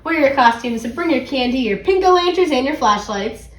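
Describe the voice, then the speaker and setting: Lady reading as excitable little kid hero girl from a storybook, high and earnest, dramatic, roomy sound. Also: brisk. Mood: determined, pleading. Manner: exclamatory, earnest.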